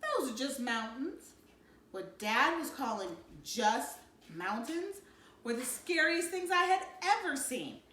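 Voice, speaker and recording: Animated high-voiced woman reading a story. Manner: animated, dramatic storytelling with drawn-out emphasis. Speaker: read-aloud narrator. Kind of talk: expressive children's novel read-aloud. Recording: roomy, distant mic, faint noise.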